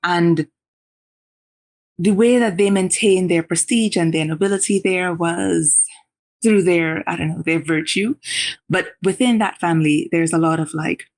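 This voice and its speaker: A woman in her thirties with a Trinidadian accent, a memoirist guest speaking candidly and reflectively in an interview. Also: on the low side. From Trinidad and Tobago. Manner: reflective, pausing with sighs and laughs.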